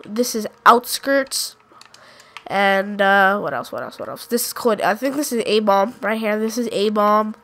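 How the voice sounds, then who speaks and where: A woman's voice: mid-range voice, lively intonation, normal speed, a bit bright. A woman with a congested, sick voice giving casual gameplay commentary, pointing out map callouts and often correcting herself. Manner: rambling, self-correcting, unsure. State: congested from a cold. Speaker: gaming YouTuber.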